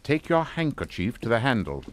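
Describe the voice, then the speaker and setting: A middle-aged father played by a man rules a suspense radio drama with cold authority. He is clipped and matter-of-fact even when threatening, with a mid-pitched voice that rises and falls widely, recorded very dry. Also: normal speed, dry recording, natural voice. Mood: commanding. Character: coldly commanding English father, calm, menacing, middle-aged patriarch.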